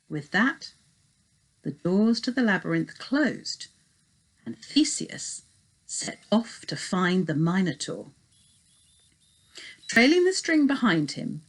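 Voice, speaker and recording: Lady teacher reading a storybook, really slow and dramatic, lots of up and down. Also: mid-range voice. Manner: dramatic, deliberate. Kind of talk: scripted storybook narration.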